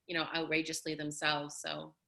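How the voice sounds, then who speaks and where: Bright-voiced chatty woman host. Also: sits low, completely flat delivery, normal speed, vocal fry. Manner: effusive, with many "you know" and "like" fillers. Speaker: host and coach. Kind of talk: conversational interview hosting.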